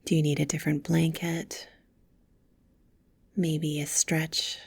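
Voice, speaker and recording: A woman leading a guided meditation with soft, gentle, soothing instructions, breathy and often close to a whisper. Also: low voice, flat delivery, takes it slowly, no echo.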